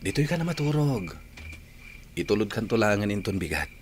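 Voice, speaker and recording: Low, coaxing young Ilocano man. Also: very animated, a little fast, slight background noise. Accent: Ilocano, from the Philippines. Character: persuasive young man, wealthy rancher's son. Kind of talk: radio drama acting. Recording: faint cricket night ambience behind.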